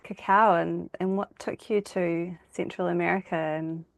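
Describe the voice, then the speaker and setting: A woman hosting a podcast, asking questions warmly and encouraging her guest with quick affirmations and easy laughter. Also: on the low side, steady tone, gravelly, dry recording. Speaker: podcast host. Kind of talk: casual interview.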